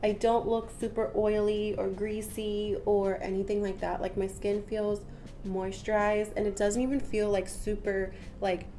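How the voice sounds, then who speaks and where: A woman's voice: medium pitch, level tone, measured pace, warm tone, a little hiss or hum. Congested young woman chatting through a makeup tutorial. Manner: chatty, rambling, with many "um" and "like" fillers. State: congested from a cold. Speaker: beauty vlogger. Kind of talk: casual makeup tutorial chat. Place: small room at home, patterned wallpaper behind. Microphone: camera at close range, head and shoulders.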